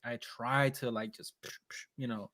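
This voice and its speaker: A man guesting on a live chat, talking quickly and with energy and slipping in Spanish phrases. He sounds like he is on a video call. The room sound is dry, with almost no echo. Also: medium pitch. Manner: animated, fast-talking, slips into Spanish phrases. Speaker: percussionist guest.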